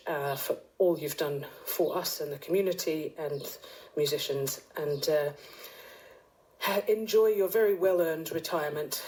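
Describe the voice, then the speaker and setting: Mellow, lowish-voiced woman. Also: low-quality recording. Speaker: musician. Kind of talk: recorded well-wishing message.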